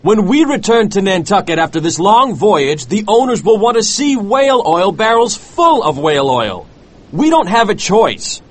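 A male narrator voices a principled ship's mate from a seafaring storybook, high and husky. The mate speaks with urgent, worried earnestness, the pitch swinging widely as he pleads. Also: big pitch swings, normal speed. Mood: despairing.

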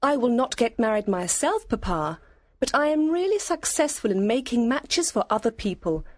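Self-assured young lady acted by a woman, teasing, high-ish lilting pitch, dry close recording, storybook character. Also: sing-song, normal speed, no echo. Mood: confident, proud. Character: confident, playful, self-satisfied young lady. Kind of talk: audiobook character acting.

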